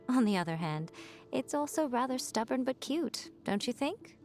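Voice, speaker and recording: A woman voicing a sly, elegant fox shrine maiden for a video game, poised and coy, with a teasing, wryly condescending manner and drawn-out phrasing. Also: high-pitched, lively intonation, darkish tone, soft background music. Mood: wry, catty. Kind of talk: scripted video game character voice lines.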